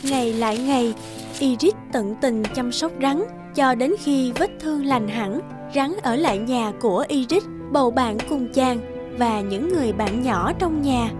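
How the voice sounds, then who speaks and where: A woman narrates a children's fairy tale in a high voice. Her scripted storytelling plays over background music. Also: measured pace.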